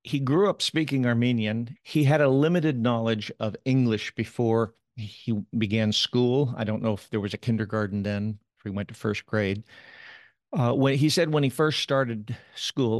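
Man, lowish voice, measured reflective interview answers, dry close sound. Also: no echo. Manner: measured, thoughtful, pauses with "uh". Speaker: interview guest, son of the subject.

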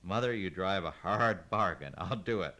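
A man's voice: deep voice, lively intonation, normal speed, pushed and bright. A man voicing a middle-aged farm wife who is exasperated and sarcastic, in a read-aloud story. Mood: firm.

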